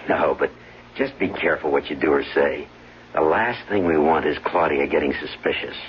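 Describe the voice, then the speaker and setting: Radio play villain: very low-voiced plotting husband, controlled, conspiratorial; muffled old broadcast. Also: male voice, normal speed, a little hiss or hum. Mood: cool, conspiratorial. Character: smooth, cautious scheming husband. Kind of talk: 1970s radio drama acting.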